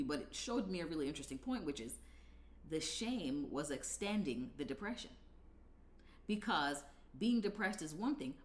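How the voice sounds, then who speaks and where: A deep-voiced woman in her thirties speaks candidly straight to her viewers, her pitch swinging widely as she explains. Her low voice rings a little in an echoey room, and she talks like a coach sharing her own story. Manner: candid, conversational, animated, punctuated with laughs. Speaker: coach and video creator. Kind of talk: direct-to-camera talk video.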